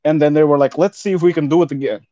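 Guy doing deadpan rambling bits on a podcast, kinda high voice, dry dull mic. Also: racing, no echo. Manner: mock-solemn, rambling deadpan. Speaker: podcast co-host. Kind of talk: improvised comedy banter.

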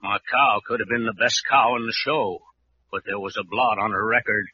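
An actor playing a doleful, dog-like dog doctor with deadpan delivery in a 1940s radio comedy. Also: male voice, lowish voice, normal speed, lightly acted. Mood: deadpan joking. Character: slow, doleful dog doctor who acts like a dog. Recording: very noisy, dull vintage radio recording.

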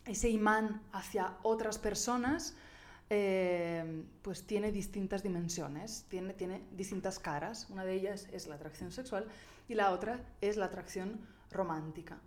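A woman co-hosts a relaxed podcast conversation. She explains findings as she goes, pausing often and restarting her sentences. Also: mid-range voice. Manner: thinks aloud, hesitant, with many fillers and restarts. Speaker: podcast co-host. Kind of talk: casual chat explaining research.

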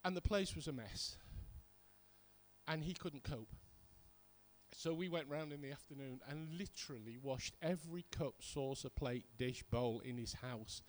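High-pitched British man preaching. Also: measured pace. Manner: conversational storytelling with emphatic repetition. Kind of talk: sermon with personal anecdotes.

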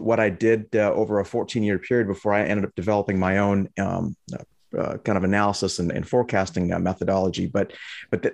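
A man's voice: on the low side, a little mellow, no echo. A middle-aged man from Lebanon, a guest talking casually in an interview, with many restarts and fillers. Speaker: guest, trading educator.

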